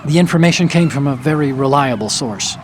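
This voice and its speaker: Game idealist male actor: articulate, calm, earnest, medium pitch, light background noise. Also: a little fast, lightly acted. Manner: articulate, explanatory. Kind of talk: dramatic video game acting.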